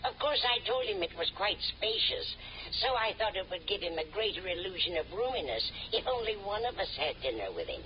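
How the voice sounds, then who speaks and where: A woman's voice: mid-range voice, very animated, fairly quick, slight background noise, natural voice. An actress playing a dotty, absent-minded, kindly older woman in an old radio sitcom, vague and fluttery in manner. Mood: chatty, muddled. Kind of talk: 1950s radio situation comedy acting. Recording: muffled old radio recording, audience behind.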